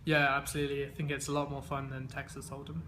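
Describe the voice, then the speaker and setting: A man's voice: medium pitch, completely flat delivery, fast talker, a bit bright, a little hiss or hum. A man in his twenties, raised in England, answering interview questions in an easygoing way with many fillers and restarts. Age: 20s. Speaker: interviewee, games player.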